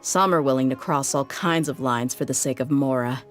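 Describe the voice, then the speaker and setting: A low-pitched woman mercenary from a fantasy game, blunt and weary of a hard life. She talks casually with a wry, colloquial edge. Music plays clearly behind her voice. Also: normal speed. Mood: resigned, explanatory. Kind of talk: video game voice acting.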